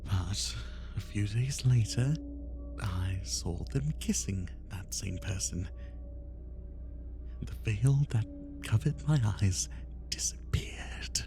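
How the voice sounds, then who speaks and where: A man playing an obsessive, lovesick and menacing kidnapper in a roleplay ASMR monologue, with a breathy voice that often drops into whispers and theatrical, lingering emphasis. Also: fairly low pitch, lively intonation, takes it slowly, pauses often, music in the background. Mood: wistful, bitter.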